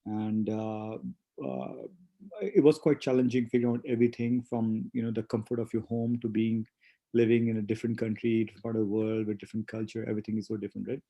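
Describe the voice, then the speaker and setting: A man in his forties who grew up in India and speaks English as a second language, reflecting slowly with many pauses and fillers as an interview guest. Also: on the low side, little pitch movement, warm tone, lo-fi sound. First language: not English. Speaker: guest, spa owner. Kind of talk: casual interview conversation.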